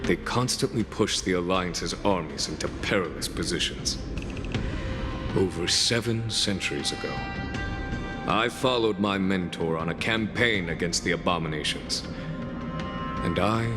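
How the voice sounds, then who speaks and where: An actor playing a seasoned, battle-hardened warrior in a video game cutscene, speaking formally and solemnly. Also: male voice, low-pitched. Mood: grave. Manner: formal, measured, solemn storytelling. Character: seasoned, grave male veteran warrior, long-lived and battle-hardened. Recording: long reverb, loud music behind.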